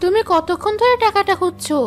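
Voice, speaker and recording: A woman voicing a caring wife and mother in a cartoon, calm and reassuring. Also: very high voice, fairly quick, faint music behind. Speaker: narrator and mother.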